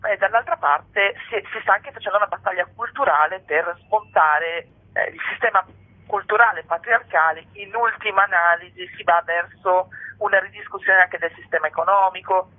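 A woman's voice: mid-range voice, normal speed, phone-quality sound. A woman speaking as an interviewed author, explaining politics in an articulate, argumentative way with ironic asides. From Italy.

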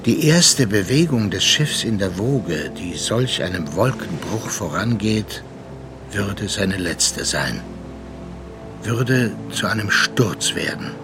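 An actor as the grave narrator of a sea tragedy in a radio play, measured, solemn and dramatic. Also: male voice, on the low side, animated, music in the background.

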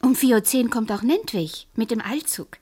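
An actress playing a nervous, lovestruck middle-aged widow in a radio drama, with a breathy, husky voice. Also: female voice, on the high side, lively intonation, normal speed, warm tone. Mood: eager, nervous. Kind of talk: naturalistic radio drama acting.

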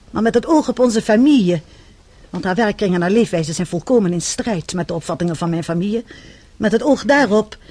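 A prim spinster landlady in a radio drama, acted by a woman. Her voice is husky and her phrasing formal and measured, full of proper disapproval. She sounds unworldly and middle-aged. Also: medium pitch, darkish tone, dry recording, lightly acted. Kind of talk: Dutch radio play acting.

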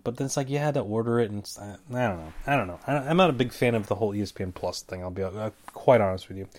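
A man's voice: medium pitch, a little mellow. A man hosting a casual talk show, rambling with many fillers and wry, offhand asides. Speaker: podcast host. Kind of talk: casual fight-prediction chat.

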